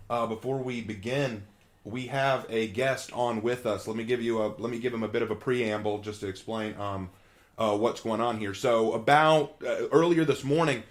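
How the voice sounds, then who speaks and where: A man hosting a live stream, talking in a friendly, chatty way with frequent ums and uhs. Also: mid-range voice. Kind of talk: casual live stream commentary.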